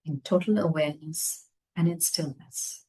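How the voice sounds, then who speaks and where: Female meditation guide, low-pitched and breathy-husky, gentle delivery with spacious pauses. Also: low-quality recording. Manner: gentle, unhurried phrases with long pauses. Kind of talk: guided meditation, slow soothing instruction.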